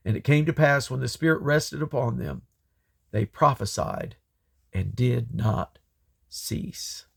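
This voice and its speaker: A man reading scripture aloud in a solemn, deliberate way, breaking the text into measured phrases. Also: mid-range voice, animated, creaky, breathy edge. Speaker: Bible reader. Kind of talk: scripture reading, King James English.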